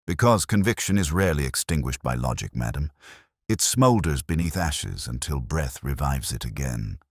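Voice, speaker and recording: A man voicing a grave, brooding, cerebral detective in a storybook reading, speaking in measured, solemn aphorisms. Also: extremely low pitch, expressive, slightly rough, dry recording, clean studio sound, heavily acted. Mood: calm, weighty.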